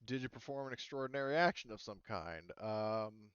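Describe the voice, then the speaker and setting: A man's voice: on the low side, expressive, moderate pace, fairly bright tone, smooth, no room sound. A man running a tabletop roleplaying game as game master, chatting casually. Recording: slightly dull, dry close microphone.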